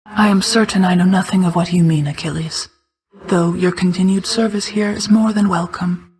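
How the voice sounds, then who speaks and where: A woman voicing a solemn, regal night goddess and protective mother figure in a video game, speaking in a formal, measured, restrained way. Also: lowish voice, fairly even intonation, a little mellow, close to an everyday voice, poor audio. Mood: grave, measured. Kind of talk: scripted video game character dialogue.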